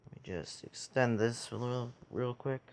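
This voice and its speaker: A man giving a casual how-to tutorial in a halting way, pausing between short phrases. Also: mid-range voice, clear, resonant tone. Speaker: tutorial video creator.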